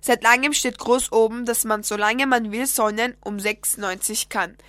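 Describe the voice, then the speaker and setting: A woman's voice: fairly high pitch, steady tone, normal speed, a bit bright, no echo. A sixteen-year-old girl from Austria whose first language is Turkish, reading a matter-of-fact prepared text for a radio show. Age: teens.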